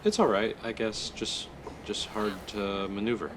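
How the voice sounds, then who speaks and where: An actor playing an awkward, reticent teenage boy guide in an audio drama, speaking haltingly. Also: fairly even intonation, background noise, close to an everyday voice. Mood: reluctant. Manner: halting, mumbled, low-key. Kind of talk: audio screenplay acting.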